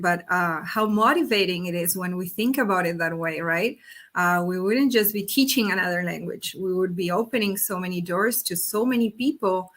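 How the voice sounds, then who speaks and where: An Argentinian woman whose first language is Spanish answers interview questions in a bright, clear voice at an ordinary pitch. She talks earnestly and often restarts her sentences with fillers. She is heard over a video call. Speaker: interview guest, company executive. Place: Brazil.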